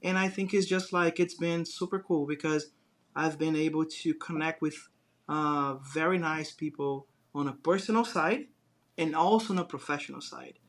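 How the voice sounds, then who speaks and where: Man, brazilian portuguese accent, slightly high voice, rambling interview talk, lots of fillers. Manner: rambling, many fillers and restarts. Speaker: guest, design business owner. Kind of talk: casual interview chat.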